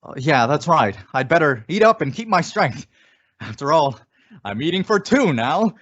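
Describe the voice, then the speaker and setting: A man's voice: fairly high pitch, very animated, moderate pace, fairly bright tone. A man playing an eager, doting husband and father-to-be in an old-time radio sitcom, with a coddling manner. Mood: weak, dazed. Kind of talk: 1940s radio sitcom acting. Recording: muffled old-time radio style recording.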